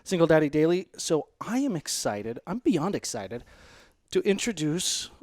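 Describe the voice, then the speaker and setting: A man's voice: a little high, very animated, normal speed, darkish tone, no echo. A man hosting a casual home podcast, upbeat and joking, swearing now and then. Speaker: podcast host. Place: living room at home.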